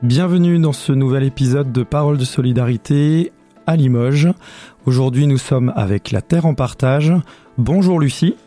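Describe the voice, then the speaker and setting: A man's voice: medium pitch, normal speed, dark tone, faint music behind. A man hosting a radio show, easygoing and guiding his guests with short prompts. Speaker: radio host. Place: radio studio. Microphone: studio microphone.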